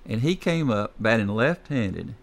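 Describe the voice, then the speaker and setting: Older grandfather host, low voice, slow reminiscing talk. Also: big pitch swings, vocal fry. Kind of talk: casual chat.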